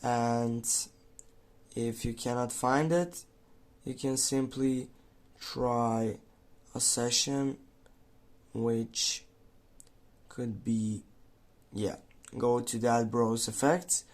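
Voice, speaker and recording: A man narrating an improvised how-to tutorial, speaking haltingly with pauses in the middle of his sentences. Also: mid-range voice, steady tone. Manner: halting, pausing mid-sentence. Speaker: tutorial host.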